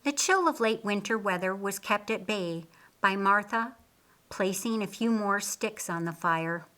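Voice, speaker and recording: A woman reads a story for an audiobook. Her delivery is slow, deliberate and expressive. The tone is bright, and the pitch sits in the middle for a woman. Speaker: audiobook narrator. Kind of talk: scripted storybook narration.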